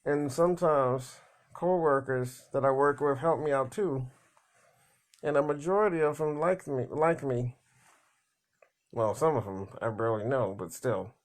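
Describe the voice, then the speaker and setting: A man talking in a casual, rambling monologue, halting and repeating words, blunt and plain-spoken. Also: medium pitch. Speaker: vlogger.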